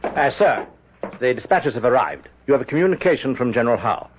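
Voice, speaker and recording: A man's voice: medium pitch, sing-song, a little fast, close to an everyday voice. A man playing a dutiful, hesitant army aide in a 1970s radio drama, formal and deferential in manner. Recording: dull, noisy vintage radio broadcast with clicks.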